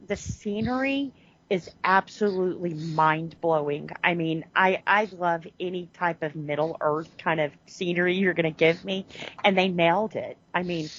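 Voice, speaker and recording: Podcast co-host: woman, low-pitched voice, animated casual talk, dull lo-fi audio. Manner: animated, lots of restarts and "you know". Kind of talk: casual chat reviewing a TV series.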